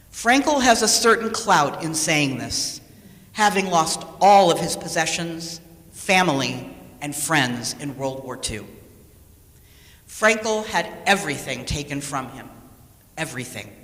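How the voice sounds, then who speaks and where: A woman delivers a scripted sermon in a bright, forceful voice with lively rises and falls. She is deliberate and unhurried, pausing on key words. Her words ring through an echoey hall. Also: lowish voice. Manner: measured, deliberate, with pauses for emphasis. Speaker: lay speaker reading a sermon. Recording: echoey hall with long reverb.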